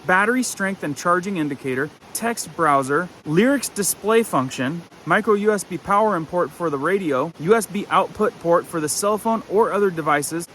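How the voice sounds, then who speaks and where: Higher-voiced man reviewing gear over clear background noise. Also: expressive, normal speed, hardly any pauses, bright, forceful tone, poor audio. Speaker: product reviewer. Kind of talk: casual product review to camera, listing features.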